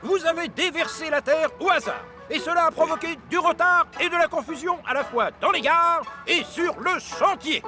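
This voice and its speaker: A man's voice: very high voice, normal speed, hardly any pauses, over music. A man playing a stern, officious policeman who scolds pompously in a children's cartoon. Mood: stern, scolding. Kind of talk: French-dubbed children's cartoon acting.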